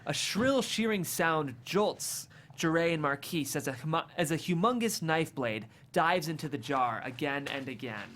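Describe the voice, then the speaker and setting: Animated table-read narrator with mid-range pitch. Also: unhurried, few pauses, a little hiss or hum. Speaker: stage directions narrator.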